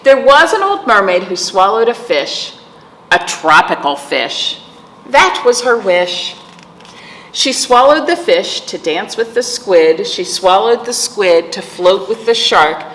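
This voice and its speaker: An echoey room surrounds a woman reading aloud to young children. Her pitch moves up and down in a lively sing-song, and she takes her time with each phrase, speaking straight to her small listeners as a storytime host. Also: takes it slowly. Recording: echoey reverberant room, clear background noise.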